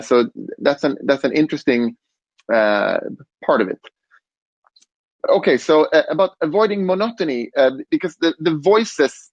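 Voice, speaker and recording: A man on a conference panel explaining design, relaxed, with fillers and restarts. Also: a little high, no room sound, lo-fi sound. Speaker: robot interaction designer.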